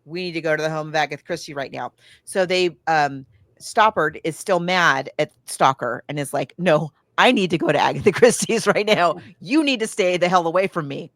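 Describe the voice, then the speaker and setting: A woman with a slightly low voice talks through a film in a casual podcast style. She is recorded dry, with little room sound. Her delivery is halting, circling back and thinking aloud as she retells the story. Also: fairly bright tone, no echo. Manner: acts out characters' lines in casual asides. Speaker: podcast co-host. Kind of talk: casual film recap chat.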